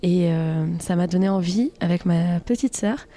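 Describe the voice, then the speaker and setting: A woman's voice: lowish voice, normal speed, warm tone. A woman in her twenties from France, a radio interview guest answering with frequent hesitations. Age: 20s. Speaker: guest, association president.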